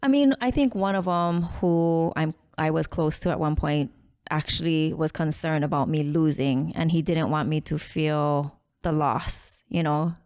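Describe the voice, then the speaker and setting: A woman with a notably low voice speaks into a studio mic. She is a defense attorney from Hawaii, confident and direct as she lays out the legal details. The talk is a relaxed, casual interview. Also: measured pace, smooth. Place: podcast studio. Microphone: studio microphone with headphones.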